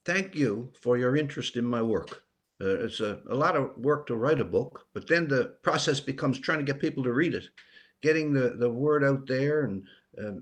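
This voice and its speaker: An older man from Newfoundland answering interview questions reflectively, pausing often to search for words. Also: medium pitch. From rural Newfoundland, Canada. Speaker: author and retired teacher as guest.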